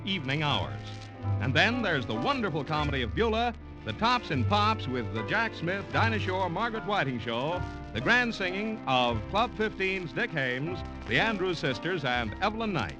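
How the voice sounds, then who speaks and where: A man's voice: fairly high pitch, low-quality recording. A man reading scripted credits and promotions as a vintage radio network announcer. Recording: vintage radio broadcast recording.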